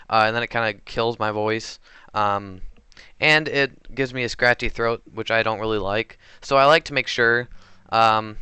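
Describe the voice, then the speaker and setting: A man's voice: mid-range voice, pushed and bright, smooth, dry recording. A man giving casual, hesitant commentary over recorded gameplay, often unsure of himself and correcting his own words. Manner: hesitant and unsure, many fillers and self-corrections.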